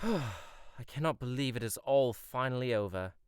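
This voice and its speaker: An actor playing an earnest, quietly resolute young prince in an audio drama. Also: male voice, mid-range voice, lively intonation, moderate pace, clear, resonant tone, no echo, natural voice. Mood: relieved, hesitant.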